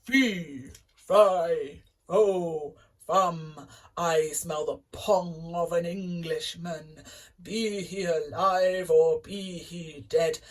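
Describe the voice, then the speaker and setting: A woman voicing a huge, grumpy male giant who roars his lines in a booming chant. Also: steady tone, drawn-out words. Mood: roaring.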